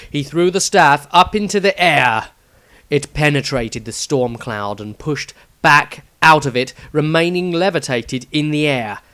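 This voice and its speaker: A man narrating a scripted fantasy story in a deliberate, expressive storytelling manner. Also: mid-range voice, dry recording. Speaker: author reading his own story, narrator.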